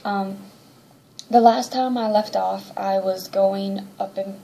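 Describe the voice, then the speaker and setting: A woman's voice: mid-range voice, fairly even intonation, slow, gravelly, mellow, slight background noise, poor audio. A woman in her thirties giving a chatty, rambling personal update to camera, sounding congested and a little nasal from allergies. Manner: chatty, rambling with many ums. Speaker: vlogger.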